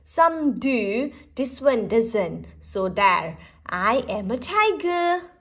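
A woman's voice: a little high, big pitch swings, extremely slow, a bit bright, slightly rough, exaggerated voice. A woman voicing a small, boastful, stubborn storybook mouse who insists on being a tiger. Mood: cocky, stubborn. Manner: insistent, cocky declarations.

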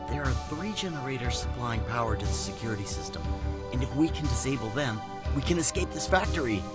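An earnest, resourceful boy hero from a video game, played by a man. He explains urgently with lively pitch, music playing behind. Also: close to an everyday voice. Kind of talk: video game cutscene acting.

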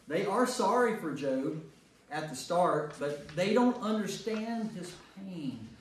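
A man's voice: sits high, expressive, slow. A man speaking as a pastor leading an informal Bible study, conversational, repeating phrases for emphasis and checking in with listeners. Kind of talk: informal group teaching with questions to listeners. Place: echoey room with a small group. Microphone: distant room microphone. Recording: distant mic in echoey room, noise.

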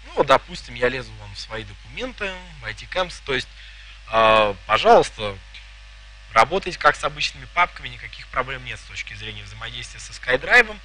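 A man's voice: mid-range voice, big pitch swings, brisk, pushed and bright. A man in his thirties giving a live technical talk in a conference hall, joking and asking the audience questions. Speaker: conference presenter. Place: conference hall with a projector screen.

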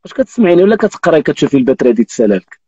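A man's voice: on the high side, big pitch swings, rapid-fire, mellow, slightly rough, dull audio. A Moroccan man in his thirties hosting a live stream, teasing and telling jokes with frequent oaths. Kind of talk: joking casual chat. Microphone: phone on live video call.

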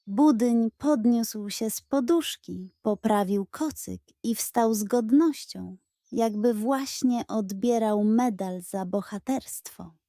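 A woman tells a children's bedtime story. Her voice is fairly high yet soft and mellow, and she reads slowly and expressively, very close and dry. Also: no echo. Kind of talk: bedtime storytelling, scripted narration.

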